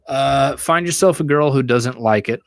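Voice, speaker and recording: A young man from the States hosts his own podcast stream and answers viewers off the cuff. He talks in a crude, teasing, swear-heavy way at a middle pitch. His voice comes through a stand microphone in a small room, close and dry with almost no room sound. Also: normal speed, no echo. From United States. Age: young adult. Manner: crude, joking, swears a lot. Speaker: podcast host answering chat. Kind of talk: casual livestream chat. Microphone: studio mic on a stand in front.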